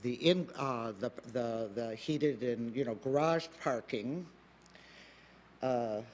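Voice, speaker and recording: Male developer at a planning hearing; halting delivery, mid-range voice. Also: medium pitch, slight background noise. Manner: halting, many fillers and restarts. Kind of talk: public meeting testimony.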